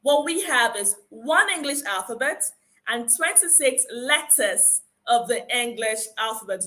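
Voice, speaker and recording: A woman's voice: a little high, pushed and bright. A woman with a Nigerian accent giving an encouraging phonics lecture, stressing rules and repeating key points. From Akwa Ibom, Nigeria. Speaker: phonics teacher. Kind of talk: conference lecture.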